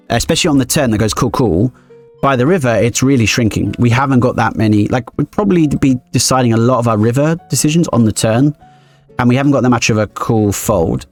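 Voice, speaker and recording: A man streaming his poker games live, thinking aloud quickly and analytically as he explains each decision. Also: medium pitch.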